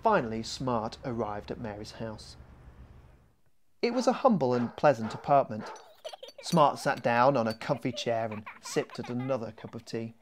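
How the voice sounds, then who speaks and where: A man reading a children's mystery story expressively, as its author, with deliberate dramatic pauses. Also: medium pitch, expressive, slight background noise.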